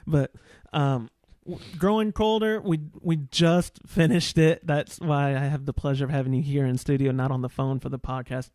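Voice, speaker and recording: A man's voice: medium pitch, steady tone, moderate pace, hardly any pauses, darkish tone, dry recording. A man hosting a casual podcast interview, chatty and given to joking, teasing asides. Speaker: podcast host. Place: indoor studio.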